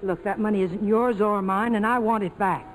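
An actress playing a defiant, wary young woman with sharp, clipped retorts in a 1940s radio drama. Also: medium pitch, fairly even intonation, normal speed, soft background music, natural voice. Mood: indignant, accusing. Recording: vintage radio broadcast, reverberant.

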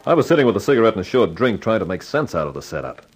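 An actor playing a cocky, wry amateur sleuth in a slangy 1950s radio detective drama, both narrating and in dialogue. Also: male voice, on the low side, sing-song, very fast, soft background music. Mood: wry, brooding. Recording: vintage 1950s radio recording.

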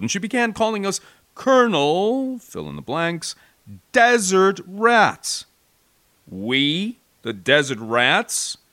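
An American man narrating a war memoir as an audiobook, with an expressive, wry, folksy storytelling delivery. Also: medium pitch, no room sound. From United States.

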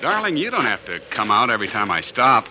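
An actor playing a determined young homesteader husband, earnest and plain-spoken in 1940s radio drama style. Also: male voice, mid-range voice, big pitch swings, fast talker, pushed and bright, a little hiss or hum, poor audio.

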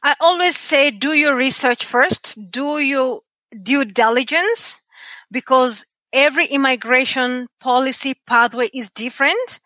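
A woman with a Kenyan accent, speaking as a podcaster guest in an interview, earnest and expressive as she tells stories. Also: high voice, dry recording. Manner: expressive storyteller, measured and earnest. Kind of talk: conversational interview.

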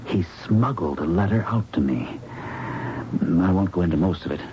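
An actor playing a disillusioned, urgent young medical intern in a radio drama, earnest and confiding. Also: male voice, deep voice, animated, normal speed, mellow. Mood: grim. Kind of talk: 1970s radio drama acting. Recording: dull, noisy old radio broadcast.

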